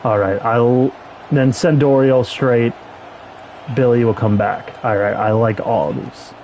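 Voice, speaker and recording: A young man streaming a football video game, commenting excitedly and talking to the players on screen. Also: mid-range voice, unhurried, a little hiss or hum, poor audio. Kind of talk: live gameplay commentary.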